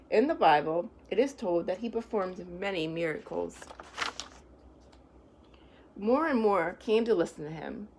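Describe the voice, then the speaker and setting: A woman reading picture books aloud to young children, pausing to explain things in simple, reassuring words. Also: medium pitch, a little mellow, slight background noise, poor audio.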